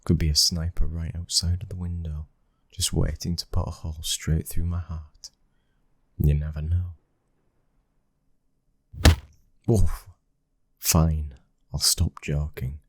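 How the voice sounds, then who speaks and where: A British man playing a loving, dominant young mafia heir in an intimate ASMR boyfriend roleplay, speaking close to the mic in a breathy, husky voice. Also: extremely low pitch, little pitch movement, unhurried, no echo, full character voice. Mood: teasing, tender. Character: smooth-talking, loving, dominant young mafia boss's son. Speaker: audio roleplay voice actor. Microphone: close microphone.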